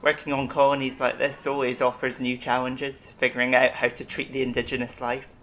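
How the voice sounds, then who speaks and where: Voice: mid-range voice, level tone, a little fast, a bit bright, a little hiss or hum, natural voice. An actor playing a centuries-old, eccentric alien medic in a science-fiction audio drama, polite, eager and talkative with learned diction. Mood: chatty, reflective.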